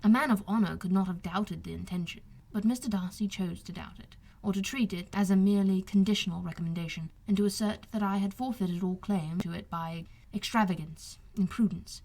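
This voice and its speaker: A woman voicing a wronged young gentleman officer in an audiobook, talking in a formal, earnest, confiding way. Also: medium pitch, a little fast, few pauses, lightly acted. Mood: aggrieved. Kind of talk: audiobook character dialogue.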